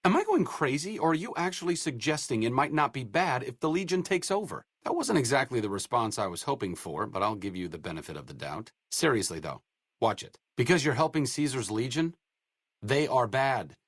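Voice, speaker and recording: A man's voice: medium pitch, lively intonation, a little fast, fairly bright tone, slightly rough, dry recording. An actor playing a wry, idealistic male doctor in a video game, dry and sarcastic by turns, then earnest.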